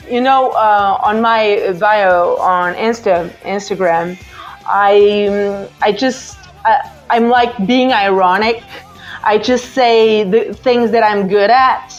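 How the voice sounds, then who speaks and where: Portuguese woman, forties, podcast host, unhurried speech, muffled lo-fi stream. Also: medium pitch, steady tone, soft background music. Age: 40s. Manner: chatty, hesitant, many fillers. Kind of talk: casual chat.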